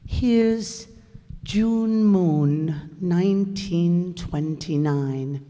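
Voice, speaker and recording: A woman's voice: on the low side, very slow, warm tone. A woman playing an elderly, nostalgic widow in a radio play, reminiscing wistfully. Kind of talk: radio drama acting. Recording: echoey hall with music behind.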